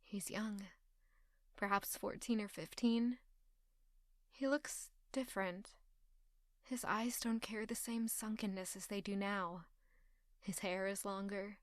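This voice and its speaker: A woman's voice: medium pitch, steady tone, slow pace, pauses often, no echo, natural voice. A woman acting a hurt, stubborn young woman in an audio drama, with an intense, emotional delivery. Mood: hushed, sorrowful.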